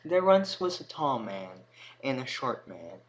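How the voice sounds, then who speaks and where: A man's voice: medium pitch, sing-song, measured pace, loud music behind the voice, rough recording. A man reading scripted storybook narration as the narrator. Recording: noticeable background noise.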